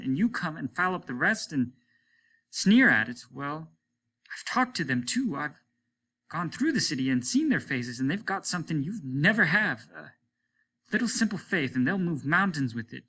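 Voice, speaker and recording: An earnest space crewman in a storybook, defying his superior, played by a male reader. The delivery is impassioned and halting, with a pleading edge. The tone is bright and somewhat raised. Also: fairly high pitch, big pitch swings, pitched above the performer's own voice, heavily acted. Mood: indignant. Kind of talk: dramatic audiobook reading.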